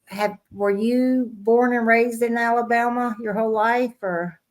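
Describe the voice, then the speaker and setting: A woman's voice: on the high side, fairly even intonation, measured pace. A woman hosting a podcast, speaking in a casual, conversational interview style. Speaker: podcast host.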